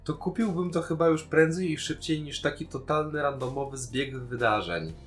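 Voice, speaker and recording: A man's voice: on the high side, moderate pace, fairly bright tone, faint music behind. A man, a podcast co-host casually discussing a TV series in a colloquial, opinionated way.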